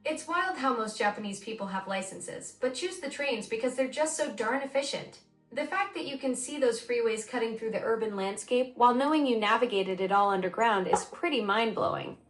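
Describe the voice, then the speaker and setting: A woman's voice: on the high side, lively intonation, a little fast, faint music behind. Effusive AI woman's voice, roomy and distant. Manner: effusive, eager, praising. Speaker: AI voice assistant co-host. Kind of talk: conversational chat. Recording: roomy voice from off the mic.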